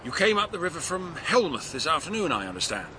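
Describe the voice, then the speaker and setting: An actor playing a polite, affable English police inspector with an English accent, courteous and probing in a radio drama. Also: male voice, fairly high pitch, very animated, quick pace, pushed and bright, a little hiss or hum. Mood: businesslike.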